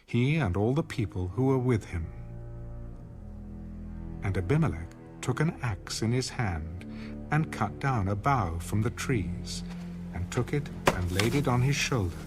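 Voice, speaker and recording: A man reading a dramatized audio Bible as narrator, in a measured, solemn storytelling manner. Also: mid-range voice, animated. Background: background music.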